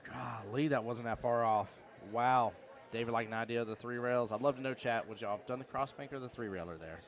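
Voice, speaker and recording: A man commentating a live pool stream, quick and upbeat, talking to the chat. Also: medium pitch. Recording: loud crowd around.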